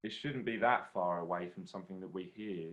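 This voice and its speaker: Young low-voiced man from southern England. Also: fairly quick, a bit bright, rough recording. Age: young adult. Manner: articulate and considered, with hesitations. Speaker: young opera singer. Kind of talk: conversational online masterclass. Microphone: video call.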